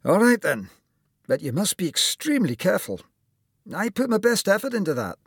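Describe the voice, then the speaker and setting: Storybook role: older Scottish surgeon played by a male reader, avuncular, raised lively voice, husky edge. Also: high voice, brisk, dry recording, studio quality, voice pushed up, exaggerated voice. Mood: encouraging, firm. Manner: avuncular, encouraging.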